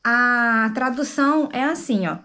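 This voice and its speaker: Woman vlogger with a high voice who reviews books chattily to camera and checks in with viewers. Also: unhurried, bright, forceful tone. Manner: chatty, explains, asks viewers "right?". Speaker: book vlogger. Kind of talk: casual book review to camera.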